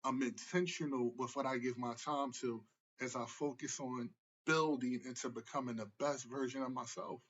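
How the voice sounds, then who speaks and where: A man's voice: mid-range voice, steady tone, moderate pace, fairly bright tone. A man in his forties giving a casual motivational talk as a therapist, often adding "right?" and repeating himself. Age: 40s. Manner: casual, repetitive, tag questions. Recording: muffled lo-fi recording.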